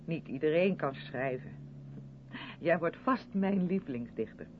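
A gushing, over-polite woman in a radio drama, quick to defend herself and faintly condescending. Her voice swings lively up and down. It sounds thin like a phone line, with a loud engine hum behind it. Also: sits low. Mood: eager, apologetic. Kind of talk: radio play dialogue in a moving car.

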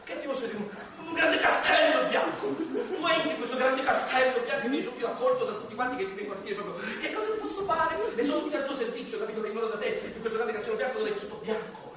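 Casting: anxious, sanctimonious innocent for comic theatre, insistent and very high-voiced, distant with audience around. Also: male voice, animated, fast talker, crowd noise. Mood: flustered rapture. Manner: flustered, repetitive, insistent. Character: anxious, sanctimonious, naive man scandalised by blasphemy. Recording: very echoey hall, distant, audience noise.

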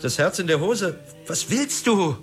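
An actor playing a nervous, sardonic middle-aged film director in a radio drama, stammering when rattled. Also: male voice, high-pitched, animated, unhurried. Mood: frightened. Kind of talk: naturalistic German radio drama dialogue. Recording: music under the dialogue. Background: music.

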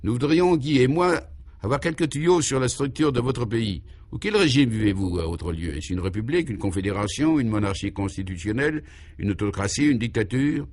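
A man's voice: medium pitch, animated, a little fast, darkish tone, natural voice. A polite male adventurer, played by the narrator, full of questions. He speaks courteously and with mock earnestness, in the dialogue style of a comic radio serial. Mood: courteous, curious.

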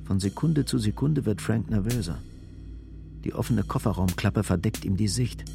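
A male narrator reads a scripted radio drama. His voice is on the low side, and music plays clearly behind him. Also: moderate pace.

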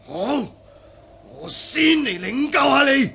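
Indignant fighter, Cantonese accent, gravelly, high, slow, confrontational, radio drama. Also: male voice, animated, sharp tone, slight background noise, exaggerated voice. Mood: challenging. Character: indignant, challenging male martial arts fighter. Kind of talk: Cantonese martial arts radio drama acting.

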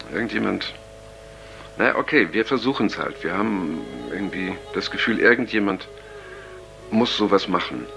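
An actor playing an earnest, uneasy young counterculture man, speaking a halting, casual taped diary in a radio drama, with many pauses. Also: fairly low pitch, pushed and bright, heavily acted, low-quality recording. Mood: hesitant, earnest. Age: young adult. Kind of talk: radio drama acting, improvised-sounding monologue.

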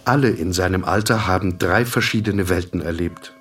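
A man narrating a literary audio drama in an introspective, dramatic way with deliberate phrasing. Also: low voice, fairly bright tone, faint music behind.

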